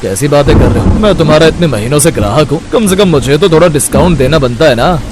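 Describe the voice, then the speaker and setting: A man's voice: a little high, expressive, quick pace, background noise, pitched below the performer's own voice, close to an everyday voice. A man voicing a casual, insistent street-food customer in a cartoon story. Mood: haggling. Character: haggling, familiar male street-food customer.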